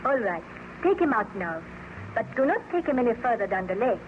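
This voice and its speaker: An actress playing a gentle, anxious baroness, earnest and formal in an old radio drama style. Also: female voice, on the high side, very animated, brisk. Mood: gentle instruction. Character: gentle, anxious baroness, devoted wife soothing her husband. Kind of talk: 1930s radio drama acting. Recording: muffled, noisy old-time radio recording.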